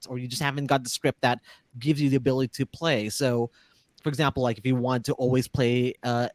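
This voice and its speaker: Guy hosting a casual panel, close mic, no echo. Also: on the high side. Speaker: panel host. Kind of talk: casual moderated chat. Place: indoor room, video call. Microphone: close studio microphone.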